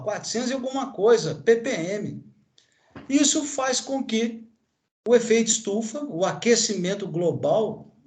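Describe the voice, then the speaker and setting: A man's voice: high-pitched, darkish tone. A Brazilian man in his sixties or older, a chemistry teacher giving a lecture, pointing at a graph and tagging nearly every phrase with questions to his class. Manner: pointing at a graph with constant tag questions and fillers. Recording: echoey room.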